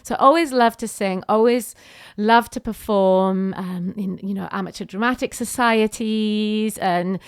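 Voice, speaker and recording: A woman's voice: mid-range voice, measured pace, hardly any pauses, clean tone. Chatty Welsh woman. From Wales. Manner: chatty, laughs often, many restarts. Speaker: guest, singer and actress. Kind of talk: casual conversational interview.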